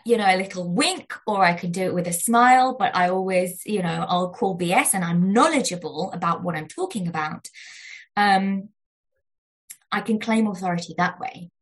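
A woman guest in an interview who talks in a thoughtful, searching way. She describes her own voice as squeaky and little, fills her speech with fillers and restarts, and often checks in with "right?". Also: rough recording. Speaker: guest, negotiation researcher. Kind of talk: conversational interview.

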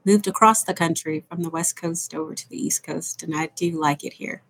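A woman from Los Angeles and Salt Lake City, explaining her work in a measured way as an interview guest on a video call. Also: lowish voice, fairly even intonation, fairly bright tone, low-quality recording. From born in Los Angeles, raised partly in Salt Lake City. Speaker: guest, company president. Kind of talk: remote interview answers.

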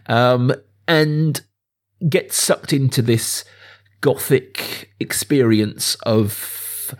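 Male podcast host, mid-pitched voice, informal and chatty film talk. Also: drawn-out words. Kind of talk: casual film discussion.

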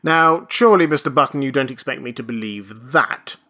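Man reading as a prim, stern registrar, high and bright, audiobook. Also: big pitch swings, fairly quick, heavily acted. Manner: prim, rising to outraged shouting. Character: stern, indignant male college registrar. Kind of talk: audiobook character voice.

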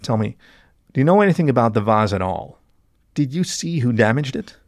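A man's voice: medium pitch, animated, brisk, no room sound, studio quality, close to an everyday voice. A man as a stern detective, mid-range voice, pressing, controlled, narrated mystery story. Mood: calm, firm. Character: stern, probing male private detective.

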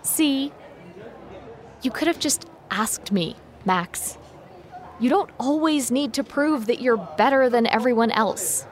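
A woman's voice: fairly high pitch, lively intonation, takes it slowly. A woman playing a proud, confrontational teenage schoolgirl in a naturalistic audio drama, cutting and condescending. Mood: cutting, hurt. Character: snippy, proud teenage girl classmate, coolly confrontational.

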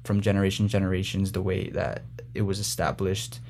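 Young man, Spanish first language, low voice, flat delivery, earnest. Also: fairly quick, a little hiss or hum. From Colombia. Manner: earnest, many restarts and fillers. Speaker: guest, former student drummer. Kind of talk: interview answers.

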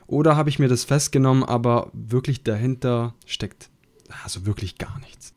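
Man, Italian accent, podcast host, mid pitch, earnest, musing. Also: normal speed, a little mellow. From Italy. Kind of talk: conversational podcast talk.

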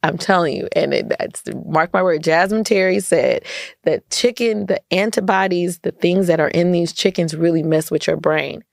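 A woman's voice: fairly low pitch, moderate pace, gravelly, dry recording, studio quality. An American woman talking emphatically in a casual interview, often repeating phrases for stress as a podcast guest. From United States. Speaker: podcast guest, entrepreneur and community activist.

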